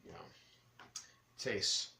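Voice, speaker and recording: A man hosting a casual, rambling beer review, speaking haltingly and often correcting himself. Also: bright, forceful tone. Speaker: beer review vlogger, host. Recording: roomy echo with clear background noise.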